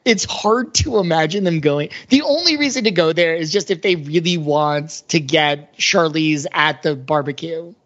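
A man's voice: a little high, normal speed, hardly any pauses, fairly bright tone. Man chatting on a slightly muffled podcast. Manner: conversational, peppered with "like". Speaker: podcast co-host. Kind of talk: casual film discussion.

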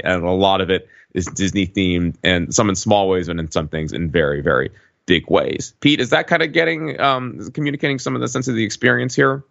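Man, low voice, rambling casual storyteller, halting and self-correcting, muffled lo-fi sound. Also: vocal fry, fairly bright tone. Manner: wordy, halting delivery with frequent hedging and self-corrections. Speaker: podcast regular recounting a trip. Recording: dull lo-fi, roomy echo.

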